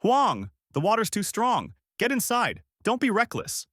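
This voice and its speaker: A man voicing an alarmed village uncle in a story, yelling urgent warnings. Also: sits high, huge pitch swings, a little slow, dry recording, studio quality, voice pushed up, exaggerated voice.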